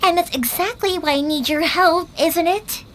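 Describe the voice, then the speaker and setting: A woman's voice: very high voice, moderate pace, a little hiss or hum. A woman playing a cheerful, big-sisterly young fireworks maker, casual and encouraging, in an anime game style. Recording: music behind the voice.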